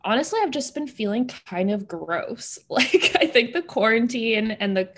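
A woman's voice: fairly high pitch, lively intonation, normal speed. A young woman co-hosting a personal finance podcast, chatting casually with lots of "like" and easy laughter. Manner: chatty, many "like" fillers, laughs easily. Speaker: podcast co-host. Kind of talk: casual chat.

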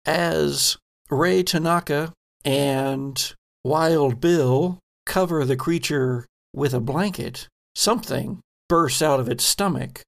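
A man with a creaky, gravel-edged voice runs a horror tabletop game. He takes his time, telling the story dramatically and pausing deliberately as game master. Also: fairly high pitch. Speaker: game master, podcast host. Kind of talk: narrating a tabletop horror role-playing game.

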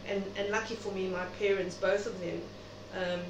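A woman's voice: medium pitch, flat delivery, normal speed. A middle-aged South African woman speaking reflectively and chattily as a guest in an interview. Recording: noisy, echoey room, distant mic.

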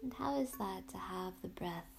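A woman guiding a yoga nidra sleep meditation in a soothing, hushed, breathy voice with long pauses. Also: medium pitch. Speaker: meditation guide, podcast host. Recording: ambient instrumental music bed. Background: meditative music with ringing tones.

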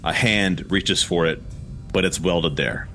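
A man's voice: low voice, measured pace, sharp tone, soft background music. A man hosting a podcast, chatting casually and narrating scripts. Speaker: podcast host.

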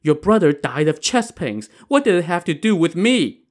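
A man's voice: a little high, sing-song, moderate pace, voice pushed up. A man voicing an accused, frightened young wife in a story, protesting and begging. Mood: defensive protest.

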